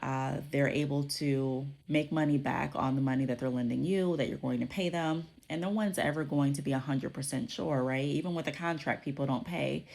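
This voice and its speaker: A woman's voice: extremely low pitch, steady tone, normal speed, vocal fry, few pauses, a little mellow. A woman hosting her own podcast, explaining business topics in a conversational way while congested from a cold, often checking in with "okay?". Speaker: podcast host.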